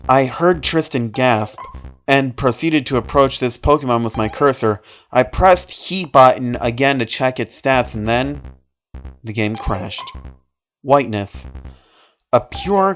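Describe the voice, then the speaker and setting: A man's voice: medium pitch, muffled recording. Man reading a story aloud, theatrical delivery. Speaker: narrator reading horror fan fiction aloud. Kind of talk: dramatic story reading with amused asides.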